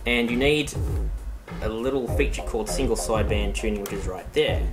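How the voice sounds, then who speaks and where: A man's voice: on the low side, a little fast. Casual man demonstrating gear, music behind him. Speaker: hobbyist video presenter. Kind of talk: casual talking product demonstration. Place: indoors in a room at home. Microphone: handheld iPod's built-in microphone. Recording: music behind amateur home recording.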